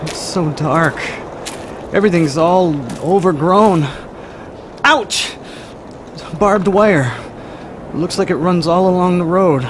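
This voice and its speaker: A man's voice: high voice, slow pace, pauses often. An actor playing an impatient, uneasy young man stranded at night in a radio drama, curt and agitated. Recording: noisy night-time drama soundscape.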